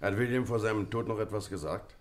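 Man playing a hearty, low-voiced colonel. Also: fast, close to an everyday voice. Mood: attentive. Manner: jovial, emphatic, blunt. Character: hearty, blunt old-soldier colonel, country host. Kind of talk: German radio play acting.

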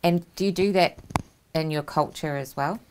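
A New woman in her thirties hosts a studio interview. Her voice sits low and has a gravelly edge. She asks gentle, attentive questions with small hesitations. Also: moderate pace, background noise. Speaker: host. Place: small talk-show studio set. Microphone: lapel mic.

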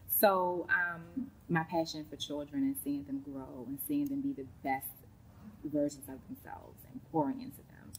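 A woman, a school counselor chatting as an interview guest, warm, with many ums. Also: sits low, normal speed, slight background noise, poor audio. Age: late 30s. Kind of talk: casual interview talk. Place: large indoor sports facility studio.

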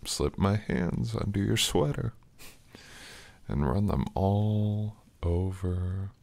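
A man's voice: deep voice, slow pace, gravelly, darkish tone, no room sound. A young man performing an intimate ASMR roleplay as an affectionate, teasing boyfriend, murmuring tenderly and breathily close to the mic. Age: young adult. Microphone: close, dry microphone.

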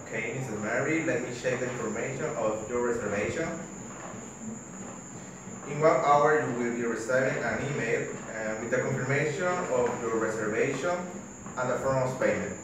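A student playing a polite reservation agent. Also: male voice, mid-range voice, moderate pace, natural voice. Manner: formal, scripted politeness. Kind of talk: classroom role-play phone call. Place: large classroom, indoors. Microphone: far camera. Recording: echoey classroom from far off, noisy.

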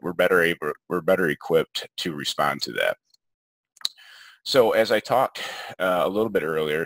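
Very deep-voiced man giving a webinar talk. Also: pushed and bright, no echo. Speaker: behavior health educator presenting. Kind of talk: informal webinar presentation over slides.

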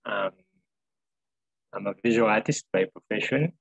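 Young man from Uganda, co-hosting and introducing speakers over a laptop call; low, gravelly and a bit husky, halting with fillers, dry close sound. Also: no echo, highs cut off. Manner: hesitant, many filler pauses. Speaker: co-host. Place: small room at home, indoors. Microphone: laptop webcam on video call.

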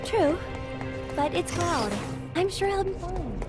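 A woman's voice: very high-pitched, animated, slow, exaggerated voice. A woman playing a perky, upbeat young woman in a video game, chirpy and kind. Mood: easygoing. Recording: loud game music behind.

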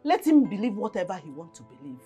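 A woman's voice: mid-range voice, very animated, fast, mellow, husky, faint music behind. A middle-aged woman with a Nigerian accent acting a combative, protective mother in a family drama, scolding with rhetorical questions and exclamations. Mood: indignant, scolding. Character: combative, protective, meddling mother of grown daughter.

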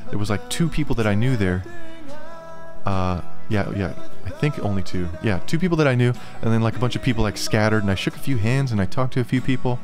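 A man in his thirties with a slightly hoarse, congested voice, chatting casually and rambling about his music from a small home studio, laughing often. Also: lowish voice, fast. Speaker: musician vlogger. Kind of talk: casual behind-the-scenes chat. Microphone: studio mic on a boom, wearing headphones.

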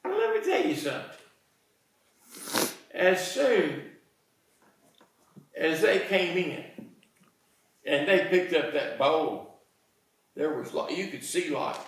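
An American man in his sixties preaching a sermon in a folksy, deliberate manner, pausing between phrases. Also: fairly high pitch, very animated, a little hiss or hum. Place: church sanctuary, indoors. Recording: very echoey church, distant microphone.

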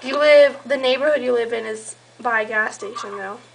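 High-voiced young woman teasing on a call. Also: normal speed, creaky. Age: young adult. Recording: noisy amateur home camera recording.

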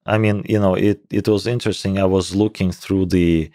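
A man in his forties with a thick Russian accent, a native Russian speaker, talking casually and haltingly as an interview guest into a close microphone. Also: low voice, steady tone, dark tone, no room sound. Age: 40s. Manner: halting, hesitant phrasing. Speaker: interview guest, professor of computational biology. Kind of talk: casual podcast conversation. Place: small indoor podcast room. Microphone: close microphone on boom arm.

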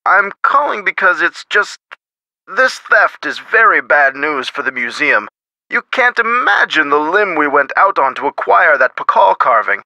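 An avuncular middle-aged lawyer from an adventure game. His voice is fairly high and very bright, with big melodic swings. He talks in a chummy, folksy, theatrical way, recorded close and dry. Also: big pitch swings, unhurried, dry recording, full character voice, poor audio. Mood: worried. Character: genial, avuncular middle-aged male lawyer and museum board member. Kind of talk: video game voice acting.

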